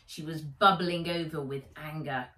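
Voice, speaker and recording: A middle-aged woman author reading her children's story aloud, deliberate and dramatic, recorded in a room at home. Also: low-pitched, fairly even intonation, fairly bright tone, distant mic. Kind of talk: expressive children's story narration. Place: indoors, living room or garden room at home. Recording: roomy, echoing home recording.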